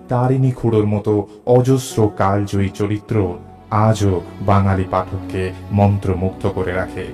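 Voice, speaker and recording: A man narrating a scripted audio story in a measured, expressive storytelling manner. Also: on the low side. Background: musical background.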